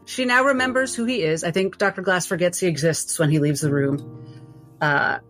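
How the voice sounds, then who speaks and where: A woman narrating her character's moves as a tabletop game player, halting and thoughtful. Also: fairly low pitch, a bit bright, soft background music. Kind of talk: improvised roleplay narration.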